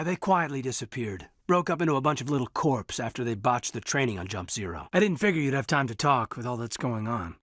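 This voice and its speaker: A man voicing an earnest, loyal military officer in a sci-fi video game, sincere and restrained, with a husky voice. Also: mid-range voice, a bit bright, dry recording, studio quality. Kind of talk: naturalistic dramatic game dialogue.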